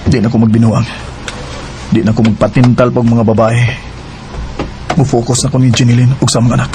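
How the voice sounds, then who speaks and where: An actor with a Cebuano Filipino accent playing a remorseful, unfaithful husband in a radio drama, hesitant and emotional. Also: male voice, medium pitch, level tone, fairly quick, lightly acted. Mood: earnest, then worried. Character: remorseful unfaithful husband and father, pleading, struggling for money. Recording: radio drama with music underneath.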